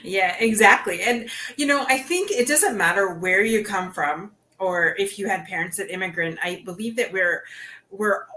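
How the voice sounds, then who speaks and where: A woman's voice: mid-range voice, moderate pace, pushed and bright. A woman hosting an interview podcast, chatting casually with plenty of restarts and "you know". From Canada. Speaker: podcast host.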